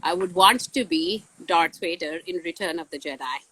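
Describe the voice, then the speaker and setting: A woman in her forties with a loud, booming voice and a bright, forceful edge talks easily as a science teacher fielding casual questions. She laughs often between answers. She is recorded outdoors on a windy day. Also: moderate pace, dry recording, poor audio. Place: outdoors in the wind.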